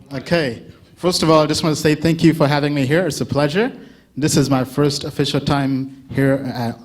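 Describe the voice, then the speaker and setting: A man's voice: medium pitch, darkish tone. Chatty man giving a conference talk. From Uganda. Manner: chatty, asks the audience questions, laughs often. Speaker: conference speaker. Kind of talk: casual keynote talk to a live audience.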